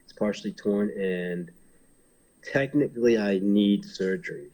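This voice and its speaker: A man's voice: lowish voice, a little slow, dark tone, rough recording. A man in his thirties from Hong Kong, a pro wrestler, talking casually in an interview and rambling with many fillers and restarts.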